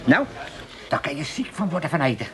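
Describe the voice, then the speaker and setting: A man's voice: fairly high pitch, big pitch swings, crowd noise. An actor playing a cheeky, gluttonous man in a comedy radio play, teasing and savouring his words with relish. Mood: gleeful, relishing. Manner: teasing, drawn-out relishing delivery. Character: cheeky, gluttonous joker who relishes disgusting stories. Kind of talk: 1980s Dutch comedy radio play acting.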